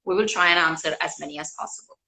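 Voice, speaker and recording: A woman from India, a guest doctor speaking in a measured, polite way in a live online interview over a video call. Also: low-pitched, bright, forceful tone, rough recording.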